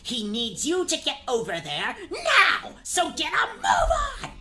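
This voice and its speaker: A woman's voice: sits high, huge pitch swings, unhurried, sharp tone, exaggerated voice. A woman playing a bossy, sarcastic, scheming evil mother in a comedic fairy-tale radio play. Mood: shrill, angry. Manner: sarcastic, barking orders.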